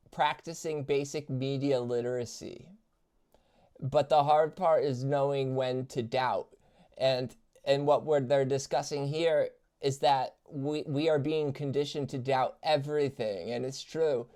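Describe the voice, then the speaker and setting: A man's voice: mid-range voice, steady tone, dark tone, clear, resonant tone. A man in the middle of his range talks through a video he is reacting to, answering his chat as he goes. He often stumbles and restarts his sentences. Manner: halting, many restarts and fillers, talking to chat. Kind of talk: casual reaction commentary.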